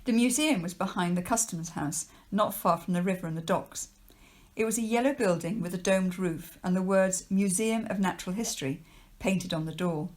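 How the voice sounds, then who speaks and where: A woman's voice: on the low side, normal speed. A woman, a teacher, reading a children's novel aloud as narrator. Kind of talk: storybook narration read aloud.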